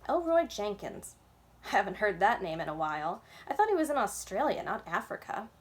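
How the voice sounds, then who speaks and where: A woman's voice: mid-range voice, big pitch swings, brisk, lightly acted. Woman reading as a confident, folksy old man. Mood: thoughtful, easygoing. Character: big grinning old man, bushy gray beard, easygoing trickster helper.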